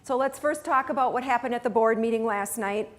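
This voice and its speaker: A woman's voice: a little high, fairly quick. A middle-aged woman, a college president, talking casually and chattily to staff, with restarts and self-deprecating asides. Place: large auditorium with audience. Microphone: handheld mic, far camera.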